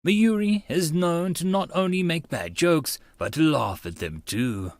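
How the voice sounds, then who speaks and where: A man's voice: on the high side, a little slow, no room sound. A man narrating a visual novel aloud as a channel host, slipping into casual asides.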